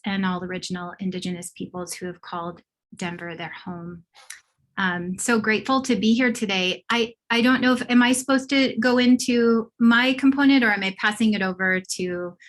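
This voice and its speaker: A woman researcher on a panel, giving a measured and respectful formal introduction over a video call. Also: lowish voice, a bit bright. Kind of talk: formal spoken self-introduction. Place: her home base.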